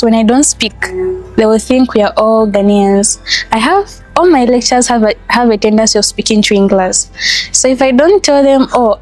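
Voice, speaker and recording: A woman's voice: a little high, a little mellow, rough recording. A young woman with a Zambian accent, an international student answering casually in an interview. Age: young adult.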